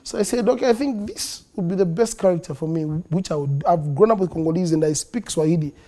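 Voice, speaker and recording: A man with a Zambian accent, a comedian being interviewed in a studio, animated and quick to laugh, slipping into local languages now and then. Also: sits high. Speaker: comedian guest. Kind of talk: interview talk.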